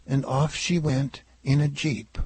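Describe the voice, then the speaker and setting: A man's voice: a little high, flat delivery, takes it slowly. A man narrating a children's story as a read-aloud. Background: music.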